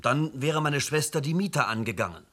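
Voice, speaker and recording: A man's voice: fairly high pitch, lively intonation, a little fast, fairly bright tone. A sulky, defensive young man. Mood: sullen. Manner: evasive, rising to tearful outbursts. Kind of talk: radio drama acting.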